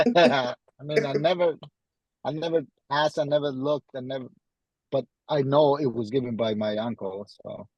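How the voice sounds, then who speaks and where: A man from Guatemala with a Guatemalan Spanish accent, chatting casually as an interview guest and often repeating his phrases haltingly. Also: mid-range voice, fairly even intonation, a little mellow, dry recording, muffled recording. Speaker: podcast guest, tradesman.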